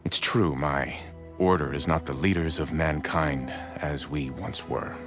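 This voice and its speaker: An actor playing a dignified, commanding old monk leader in an animated adventure game, solemn and formal. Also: male voice, very deep voice, a little slow, exaggerated voice. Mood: grave. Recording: loud background music.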